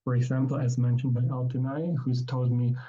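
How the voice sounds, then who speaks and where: A man presenting his research at an academic conference, speaking in a measured way with frequent ums and restarts. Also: mid-range voice, little pitch movement, warm tone, slightly rough, muffled recording.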